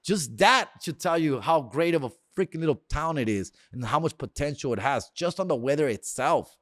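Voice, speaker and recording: A man's voice: a little high, expressive, moderate pace, a bit bright, no room sound, studio quality. A man, hosting a podcast in a casual, slangy monologue that mixes in Spanish phrases. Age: 40s. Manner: slangy, drops bro, dog and fool, mixes in Spanish phrases. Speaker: podcast host.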